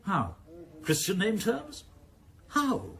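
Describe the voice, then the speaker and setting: An actor playing an earnest, fretful Chief Constable in a radio drama, formal, hesitant and high-minded. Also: male voice, huge pitch swings, slow, vocal fry, a bit bright, full character voice. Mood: flustered, earnest. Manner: formal, hesitant, morally indignant.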